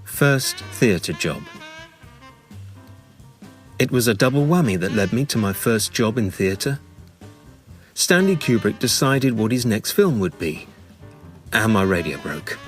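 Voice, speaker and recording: A man narrating a scripted memoir in a measured, dramatic storytelling manner with deliberate pauses. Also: lowish voice, sing-song, soft background music.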